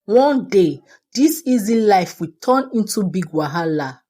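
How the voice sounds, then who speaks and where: A woman's voice: mid-range voice, expressive, a little slow, mellow. A woman voicing a loyal schoolgirl in a folktale, earnest and pleading with concern. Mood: warning. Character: earnest, loyal schoolgirl worried for her friend.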